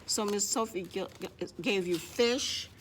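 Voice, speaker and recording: A woman's voice: mid-range voice, unhurried, slight background noise. A woman hosting a children's library storytime, speaking to young children as she tells stories and shows crafts, often referring to herself in the third person.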